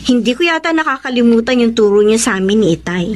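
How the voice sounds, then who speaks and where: A woman's voice: fairly high pitch, expressive, quick pace, darkish tone, natural voice. A woman playing a polite, eager-to-please schoolgirl daughter in a Filipino radio drama. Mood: cheerful, eager. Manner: polite, deferential to parents and elder brother. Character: naive, eager-to-please schoolgirl daughter, loyal to her friend.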